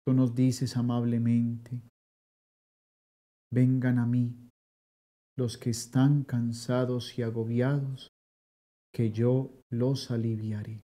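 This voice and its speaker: Man, priest, solemn liturgical prayer, mellow voice, small chapel, thirties. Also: medium pitch, flat delivery. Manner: slow, solemn liturgical delivery. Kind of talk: leading Mass and adoration prayers.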